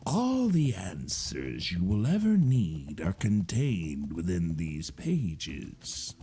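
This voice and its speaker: A man voicing a jovial, mysterious old male book salesman, speaking slowly and theatrically in a grand, drawn-out way. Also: low voice, wildly swooping pitch, warm tone, breathy edge, heavily acted. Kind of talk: storytelling narration.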